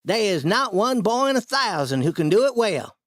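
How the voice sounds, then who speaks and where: A man voicing a clever, scheming young boy in a storybook reading, with a high, coaxing boyish voice. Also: very animated, moderate pace, fairly bright tone, dry recording. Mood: sly.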